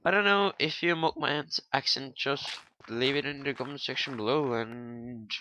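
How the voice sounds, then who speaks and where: A man's voice: mid-range voice, normal speed, sharp tone, lo-fi sound, slight background noise. A young man with a non-native English accent, rambling and swearing casually as he comments on his own gameplay. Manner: rambling, swearing, joking to viewers. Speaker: gaming YouTuber.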